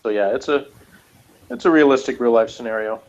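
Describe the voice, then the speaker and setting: A man chatting casually as a reviewer, talking in a rambling, halting way. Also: mid-range voice, a little mellow. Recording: dull lo-fi audio.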